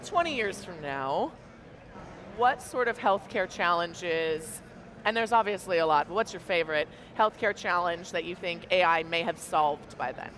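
A woman's voice: lowish voice, lively intonation, normal speed. A middle-aged woman co-hosting an interview at a convention booth, enthusiastic and full of praise. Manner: enthusiastic, effusive, warm praise. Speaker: co-host. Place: large convention hall booth, indoors.

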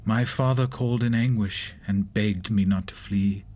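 An actor playing an anguished reanimated monster, a man reciting his story gravely in measured horror verse. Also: on the low side, fairly even intonation, darkish tone, close to an everyday voice. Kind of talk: dramatic horror monologue.

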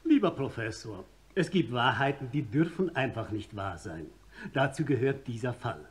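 An actor playing an authoritarian government minister in a German radio play, pompous, rhetorical and sardonic. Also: male voice, mid-range voice, expressive, normal speed, natural voice. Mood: lecturing.

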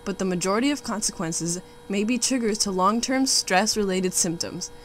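A woman's voice: mid-range voice, normal speed. A young woman, a student narrator, formally reading out facts from a documentary script. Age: young adult. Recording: faint background hum and noise.

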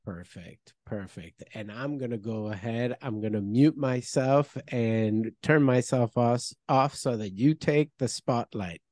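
A man's voice: mid-range voice, unhurried, hardly any pauses, darkish tone, dry recording. A man hosting a video interview show, reading a guest introduction and giving an effusive welcome through a headset mic. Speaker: host.

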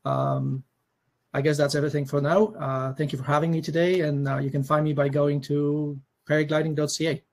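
Polish accented guy, middle aged, voice a bit high, informal panel intro. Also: level tone, brisk, a little mellow. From Poland. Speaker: paragliding instructor, panelist. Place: small room at home, indoors. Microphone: headset on video call.

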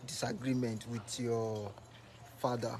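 Male reporter, mid voice, halting questions. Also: mid-range voice, flat delivery, background noise. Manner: halting, filler-heavy questions. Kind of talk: interview questions.

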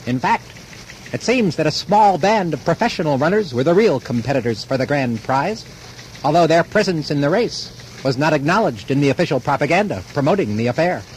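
Actor as a high-pitched, formal documentary narrator. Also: male voice, expressive, a little fast, lightly acted. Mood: solemn, expository. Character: male documentary narrator, solemn and scholarly. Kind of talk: scripted mock-documentary narration. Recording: noisy old radio recording.

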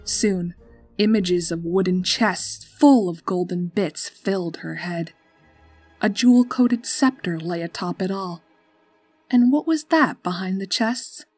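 A woman's voice: lowish voice, slow, a little mellow, husky, no room sound. A woman narrating a scripted story as its storyteller. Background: music.

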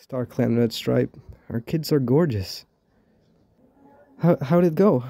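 A man voicing a reassuring grown warrior cat in a fan comic dub, with a husky voice. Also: mid-range voice, takes it slowly, warm tone, no echo. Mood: gentle, proud.

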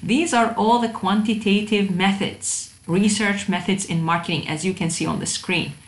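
Female lecturer, mid-range voice, conversational and halting, speaking to students in a roomy-sounding space. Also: medium pitch, steady tone. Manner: hesitant, repetitive, addresses students directly. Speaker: university instructor of digital marketing. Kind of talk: informal online lecture over slides. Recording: roomy echo, faint background noise.

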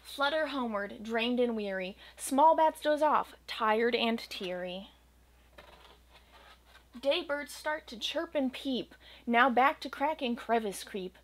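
A young woman with a slightly high voice reads a rhyming picture book aloud. Her delivery is gentle and expressive, following the verse's bouncing rhythm. She is recorded close to the camera in a small room at home. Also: slow. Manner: gentle, expressive storytelling cadence. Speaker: children's book reader. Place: small home room with bookshelves and green screen.

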